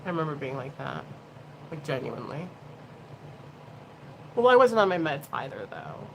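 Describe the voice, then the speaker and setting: A woman in her thirties chats loosely as she tries out makeup, wandering between thoughts and dropping casual swears. Her pitch sits in a middle range, and a steady hiss of background noise runs under the whole recording. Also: moderate pace, creaky, poor audio. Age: 30s. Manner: chatty, rambling, swears freely. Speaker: beauty livestream host. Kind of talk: casual chat while doing makeup.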